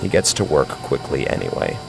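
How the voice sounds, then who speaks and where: A man's voice: rumbling low, brisk, creaky, music in the background. A man narrating a scripted horror story in a dramatic style.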